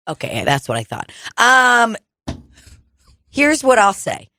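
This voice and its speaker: A woman's voice: mid-range voice, fairly bright tone, no echo, studio quality. A woman co-host playing an exasperated retail clerk with forced politeness and sarcasm in an improv comedy sketch recorded in a dining room. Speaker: podcast co-host.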